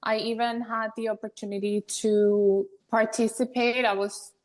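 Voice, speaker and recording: A woman's voice: fairly high pitch, little pitch movement, moderate pace, a little mellow. A young woman from Venezuela, a podcast guest talking in a casual, chatty way and laughing often. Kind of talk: casual interview conversation.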